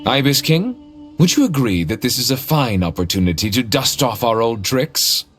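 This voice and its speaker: A man voicing a shrewd, scholarly ancient spirit in a video game, speaking formally and with measured care. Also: mid-range voice, very animated, soft background music, close to an everyday voice. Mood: courtly.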